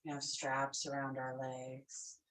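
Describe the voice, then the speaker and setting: A woman yoga instructor guiding a yoga nidra session, speaking slowly and softly in short, calm phrases. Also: rumbling low, poor audio. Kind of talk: guided yoga nidra meditation.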